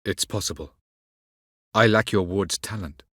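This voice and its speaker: Low-voiced, haughty ancient elven sage. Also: male voice, fairly bright tone, no echo, studio quality. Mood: measured, grave. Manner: measured, condescending, formal archaic phrasing. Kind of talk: video game character acting.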